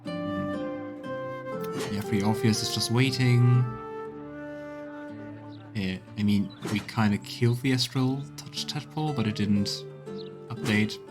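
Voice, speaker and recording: A man's voice: on the low side, slow, long pauses. A man giving casual let's play commentary, musing and thinking aloud as he plays a fantasy video game. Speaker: streamer playing a fantasy role-playing video game. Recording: game music playing behind.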